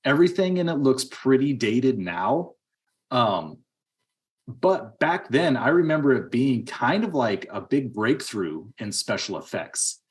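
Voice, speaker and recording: A man in early middle age co-hosting a podcast, talking casually about films in a halting, rambling way. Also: medium pitch, big pitch swings. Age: 30s or 40s. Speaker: podcast co-host. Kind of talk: casual film discussion.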